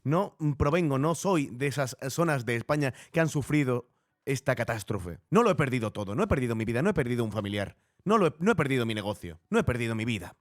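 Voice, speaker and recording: A man's voice: mid-range voice, big pitch swings, fast, no echo. Spanish man commentator, dry close sound. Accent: Castilian Spanish from Spain. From Spain. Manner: indignant, emphatic, repetitive for rhetorical effect. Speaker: host and political commentator. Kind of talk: informal reaction commentary.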